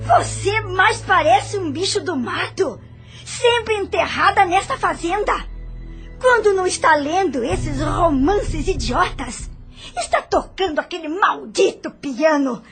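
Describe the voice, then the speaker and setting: Actress as venomous jealous young woman, very high voice. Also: expressive, a little slow, fairly bright tone, soft background music, heavily acted. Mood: venomous contempt. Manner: accusing, scornful, melodramatic. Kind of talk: audio novel acting.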